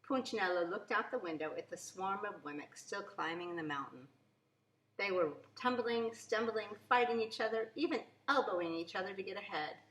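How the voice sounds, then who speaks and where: A woman reading a children's storybook aloud in an expressive, deliberate storytelling way, with emphasis on key words. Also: medium pitch, a little hiss or hum. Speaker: children's story reader, preschool teacher. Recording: echoey room.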